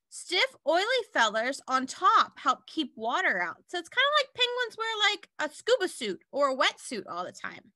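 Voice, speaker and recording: A woman reading aloud to young children like a teacher, in an animated way with simple explanatory asides. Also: sits high, no room sound.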